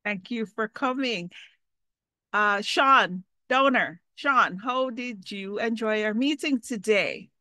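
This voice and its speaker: A woman's voice: a little high, slow pace, pushed and bright, no echo. A woman hosting an online club meeting over a video call, playful and joking between speakers. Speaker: meeting host.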